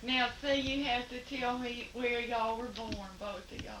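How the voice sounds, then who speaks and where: A woman interviewing her parents at home in a brisk, coaxing way. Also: a little high, fairly even intonation. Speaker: interviewer, family member. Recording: echoey room, distant mic, noisy home video.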